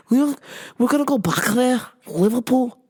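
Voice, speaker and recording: A young woman whose voice is low and recovering, telling a work story in a profane, animated storytime rant. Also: slow pace, husky. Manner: profane, animated, laughs a lot. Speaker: vlogger and retail cashier.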